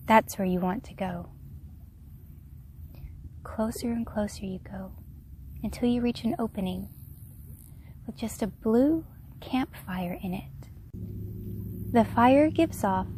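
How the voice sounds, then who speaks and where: A woman leading a guided meditation in a gentle, unhurried, soft-spoken way. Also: on the high side, a little hiss or hum. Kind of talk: guided meditation, slow soothing narration.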